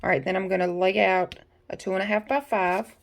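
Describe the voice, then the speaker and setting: A woman's voice: medium pitch, fairly even intonation, fairly quick, gravelly. A woman, a bit congested from allergies, chatting folksily with viewers as she works at her home craft desk. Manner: chatty, folksy, talks to viewers while working. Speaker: craft tutorial host. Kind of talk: casual step-by-step craft tutorial.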